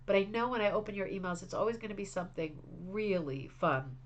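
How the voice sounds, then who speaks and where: A woman's voice: mid-range voice, lively intonation, fairly quick, dull audio, slight background noise. A woman hosting a craft club livestream, chatting casually and reading viewer messages with enthusiasm, sounding slightly congested. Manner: chatty, enthusiastic, frequent restarts and fillers.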